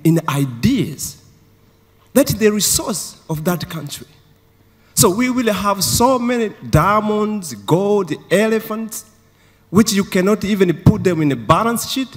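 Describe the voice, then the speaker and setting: A man from Tanzania making an earnest comment from the floor of a large conference hall into a handheld microphone. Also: sits high. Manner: earnest, gesturing with his hands. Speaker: audience member asking a question. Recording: long hall reverb.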